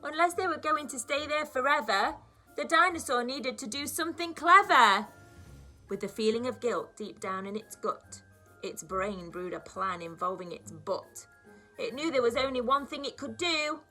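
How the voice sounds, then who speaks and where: A woman, a primary school teacher, reading aloud to young children in an expressive storybook style with dramatic emphasis. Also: high voice, moderate pace, faint music behind, low-quality recording.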